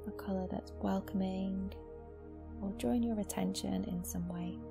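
Softly creaky, even-toned woman guiding meditation. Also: medium pitch. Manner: slow, soothing, gentle instruction with long pauses. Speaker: yoga nidra teacher. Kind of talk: guided meditation. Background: new-age music.

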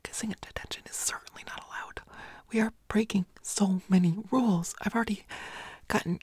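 Breathy, whispering nervous schoolboy, acted by a woman. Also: mid-range voice, steady tone, a little fast, a little mellow, dry recording, lightly acted. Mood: anxious, flustered. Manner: hesitant, stammering, pleading. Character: nervous, pouty, flustered teenage schoolboy. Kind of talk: whispered audio roleplay.

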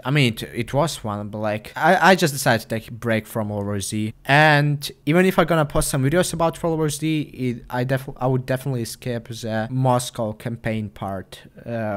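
Teen boy, Ukrainian accent, earnest halting vlog talk, mid-pitched voice. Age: teens. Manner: earnest, halting, many restarts. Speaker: gaming channel host.

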